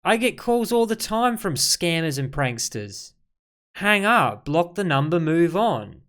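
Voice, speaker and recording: A man's voice: a little high, sing-song, a little slow, a bit bright. A man ad-libbing mocking reaction commentary as host, sarcastic and exasperated. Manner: sarcastic, exasperated, addressing the person he critiques.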